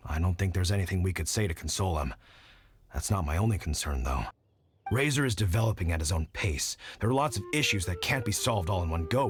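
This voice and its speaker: A man voicing a kindly middle-aged hunter dad in a video game, folksy, reflective and supportive. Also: low-pitched.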